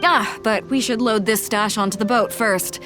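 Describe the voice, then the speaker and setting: An actress voicing a confident, brisk, no-nonsense female sea captain in a video game, dry and commanding. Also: medium pitch, music in the background, lightly acted. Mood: firm, businesslike.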